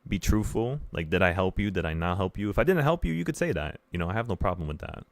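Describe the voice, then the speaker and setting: A man's voice: deep voice, animated, fast, no room sound. A man in his twenties hosting a livestream, talking casually to viewers in an earnest, rambling way with repeated phrases for emphasis. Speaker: livestream host, options trading teacher.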